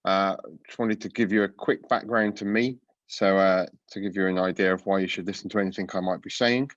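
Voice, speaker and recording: A man with a cold, sounding congested, presenting a product webinar with a relaxed manner and many fillers. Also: deep voice, a little fast, no room sound, muffled recording. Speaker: product coach giving a webinar talk. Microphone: video call.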